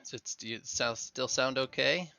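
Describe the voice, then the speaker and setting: A man with a croaky voice hosting a casual live game stream, chatting and laughing easily as he builds. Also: medium pitch, moderate pace, dry recording. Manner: relaxed, laughing easily while narrating his building. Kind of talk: casual live gameplay chat. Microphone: headset microphone. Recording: dull headset mic sound.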